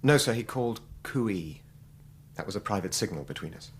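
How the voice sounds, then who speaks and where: An actor playing a distressed, defensive young man testifying in a radio drama, earnest, emotional and halting. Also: fairly low pitch, animated, breathy edge. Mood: tense recollection. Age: young adult.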